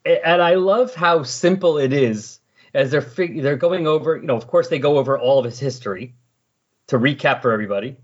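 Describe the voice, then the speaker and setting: A middle-aged man with a slightly husky voice hosting a casual comic book discussion in a chatty, explanatory way. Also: medium pitch, brisk. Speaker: podcast host. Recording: dull lo-fi voice recording.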